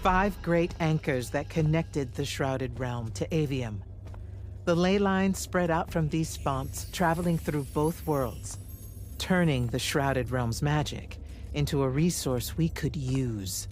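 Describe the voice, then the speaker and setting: A woman playing an authoritative senior commander mage in a video game, explaining in a grave, measured way. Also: deep voice, darkish tone, a little hiss or hum. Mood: grave, explanatory. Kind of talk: video game cutscene acting.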